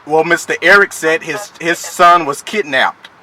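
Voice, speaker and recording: A man's voice: a little high, unhurried, pushed and bright. A man playing a stern, threatening child support official on a prank phone call, pushy and formally polite. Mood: stern, pressing. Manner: pushy, mock-official, repeatedly polite "ma'am". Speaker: prank caller. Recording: phone call with faint noise.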